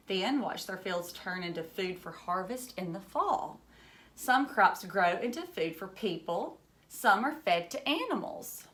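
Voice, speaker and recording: Lady teacher doing a storytime read aloud for little kids, really slow, enthusiastic, chats and explains as she goes. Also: medium pitch. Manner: enthusiastic, marveling, explains simply with questions to listeners. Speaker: teacher reading a picture book aloud to children. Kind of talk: read-aloud with chatty asides to young children.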